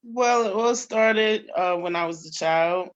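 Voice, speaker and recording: A woman's voice: lowish voice, a little slow. A woman from New York, an actress, answering interview questions casually. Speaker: stage actress being interviewed. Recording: dull, lo-fi audio.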